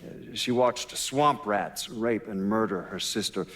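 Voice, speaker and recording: An actor playing an elderly retired male FBI official in a game, giving measured, halting documentary interview testimony. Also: on the low side, fairly bright tone. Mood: grave, uncertain. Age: 60s or older. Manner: hesitant, halting, matter-of-fact.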